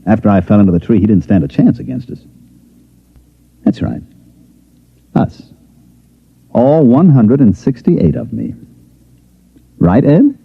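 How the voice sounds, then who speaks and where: An American actor playing a wry, brash special agent telling his adventure in 1950s radio style, with a husky voice. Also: mid-range voice, expressive, a little slow, pauses often, heavily acted. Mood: wry, relieved. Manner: wry, informal storytelling. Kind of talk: 1950s radio acting, first-person narration. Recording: dull, muffled old radio broadcast.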